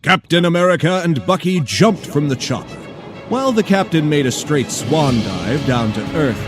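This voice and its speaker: A man narrating a scripted audio drama in a dramatic, deliberate storytelling manner. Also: a little high, animated, music in the background.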